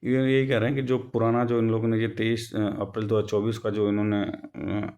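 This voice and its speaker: A man's voice: on the low side, level tone, fairly quick, a little mellow. A man giving an informal explanatory commentary, rephrasing and repeating himself as he goes. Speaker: YouTube host explaining a court case update.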